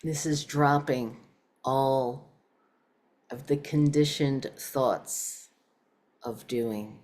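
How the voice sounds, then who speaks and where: A woman leading a guided meditation, speaking in short, measured phrases with pauses between them. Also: rumbling low, a little mellow. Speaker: meditation teacher.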